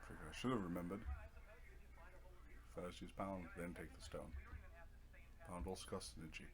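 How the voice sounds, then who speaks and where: A man's voice: low voice, steady tone, normal speed. A man giving casual let's play commentary on a video game, explaining tactics step by step in an offhand way.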